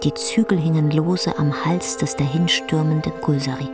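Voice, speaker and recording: A woman narrating a radio drama in a measured, hushed literary storytelling manner. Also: deep voice, fairly even intonation. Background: plucked guitar music.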